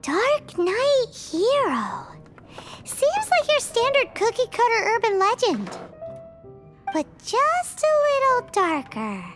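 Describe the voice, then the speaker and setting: A woman voicing a small, childlike fairy companion in a video game, perky, squeaky, teasing and blunt. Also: wildly swooping pitch, slow, full character voice. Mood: musing. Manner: exclamatory, teasing. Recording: game music behind the voice.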